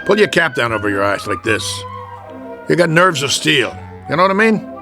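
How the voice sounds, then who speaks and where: An actor playing a gruff, bossy, streetwise American baseball manager in a radio drama, blunt and slangy, with music behind him. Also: male voice, on the high side, very animated, moderate pace. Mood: coaxing pep talk.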